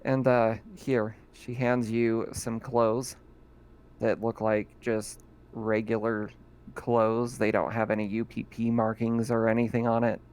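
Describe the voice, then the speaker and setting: Sex: man. Role: game master. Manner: easygoing, chuckles often. Pitch: mid. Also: level tone, unhurried, darkish tone, clear, resonant tone. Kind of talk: running a tabletop game.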